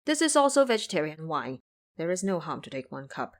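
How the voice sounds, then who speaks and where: Woman as a soft, courteous old squire. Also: low voice, big pitch swings, brisk, pitched below the performer's own voice. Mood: coaxing. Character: courteous elderly male squire, deferential host. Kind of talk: audio drama character voice.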